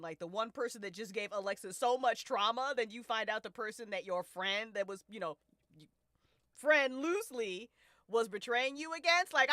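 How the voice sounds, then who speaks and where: Bright, animated woman hosting a casual podcast. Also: on the high side, no room sound, clean studio sound. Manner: expressive, profane, slangy; prone to laughing as they talk. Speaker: host and writer of a fiction podcast. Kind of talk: casual discussion of an audio drama.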